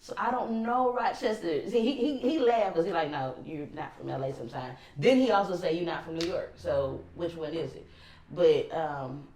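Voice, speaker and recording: A woman with a Los Angeles accent, co-hosting a casual chat and talking loosely with many pauses and fillers. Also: on the low side, echoey room. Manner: relaxed, rambling with many ums. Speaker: co-host.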